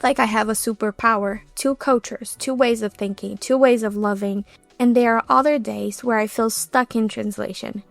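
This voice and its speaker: Young Brazilian woman, podcast host; heartfelt, confiding monologue, voice on the higher side, dry close recording. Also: creaky, dry recording. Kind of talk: reflective solo podcast monologue.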